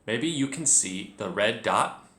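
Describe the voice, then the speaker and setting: A man leading a children's read-along lesson, speaking directly to the learner and narrating clearly as a storyteller. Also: on the low side, measured pace. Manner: expressive, addresses the learner directly. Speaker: children's reading teacher, storyteller. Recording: echoey room.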